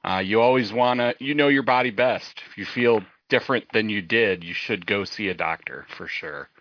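Low-voiced man, slightly muffled. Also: normal speed, no room sound. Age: middle-aged. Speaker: podcast co-host. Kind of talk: casual conversational talk.

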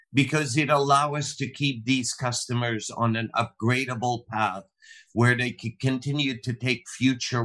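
A man in a mid-range voice answers interview questions as a tech executive. His delivery is measured and corporate, with small slips in grammar. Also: mid-range voice, a bit bright.

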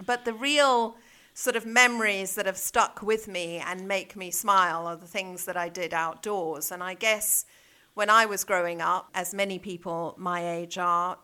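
A woman's voice: medium pitch, fairly even intonation, normal speed, pushed and bright, clear, resonant tone. A middle-aged woman with a South African accent, talking reflectively and conversationally as an interview guest. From South Africa, born in Zambia. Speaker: interview guest, head of a junior school.

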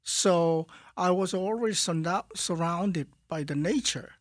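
A man from Korea with a Korean accent, a guest artist in a radio studio interview, speaking calmly and haltingly as he searches for words. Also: high voice, dry recording. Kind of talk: calm interview talk.

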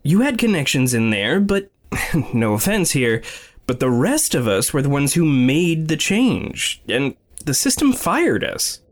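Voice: medium pitch, sing-song, measured pace. An actor playing a skeptical, sardonic young recruit in an audio drama, hesitant and emotionally guarded. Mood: challenging. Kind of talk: naturalistic audio drama dialogue.

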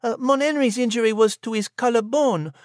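Lady doing a french cat, high voice, prim n sweetly bossy, dry studio sound, audio drama. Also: a little fast, a little mellow, no echo, natural voice. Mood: prim, caring. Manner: prim, dainty, sprinkles French phrases. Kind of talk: comic audio drama character acting.

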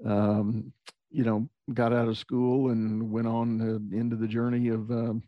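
A man's voice: mid-range voice, little pitch movement, measured pace, no echo. A middle-aged man from Texas, a podcast guest, talking reflectively about his upbringing with many fillers and restarts. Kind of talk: conversational interview. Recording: dull lo-fi dry sound.

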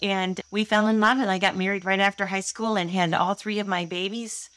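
A woman's voice: medium pitch, steady tone, fairly quick, a bit bright, smooth. A woman in her sixties from Michigan, telling her own story earnestly and candidly as a guest on an interview show. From Holland, Michigan, USA. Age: 60s. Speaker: guest inventor.

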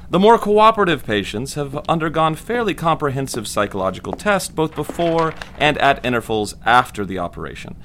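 A man's voice: medium pitch, fairly bright tone. A detached, confident doctor played by a man at a 1940s medical school lectern, his intonation rising and falling over a hissy backdrop, in an audio drama. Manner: measured, detached, authoritative. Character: confident, clinical 1940s male lobotomist lecturing medical students. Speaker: actor playing a lecturing doctor. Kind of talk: formal scripted medical lecture.

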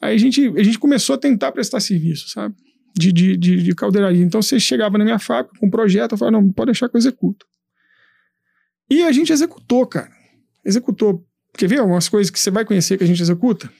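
A man telling his business story in a casual interview, animated, swearing and acting out his past thoughts. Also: sits high, quick pace, vocal fry, dry recording, clean studio sound. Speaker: entrepreneur guest.

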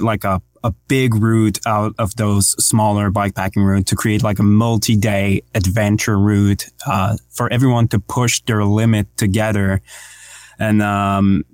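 A man's voice: on the low side, darkish tone, dry recording, studio quality. A man co-hosting a casual podcast and introducing a guest, with lots of fillers and frequent laughs. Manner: laughs often, many fillers. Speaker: podcast co-host and interviewer.

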